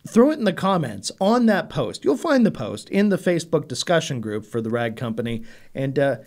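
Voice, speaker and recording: A man's voice: a little high, sing-song, fairly quick, darkish tone. Upbeat man hosting a studio podcast. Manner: upbeat, reads viewer comments aloud. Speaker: podcast host. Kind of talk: casual chat. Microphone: desk broadcast mic.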